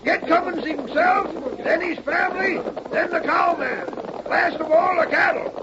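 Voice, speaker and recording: An actor playing a commanding, shouting man of the Old West in a 1940s-style radio western. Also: very high voice, measured pace, over a noisy crowd. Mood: ruthless commanding. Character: shouting western lawmen and outlaws on horseback. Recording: muffled old radio, very noisy.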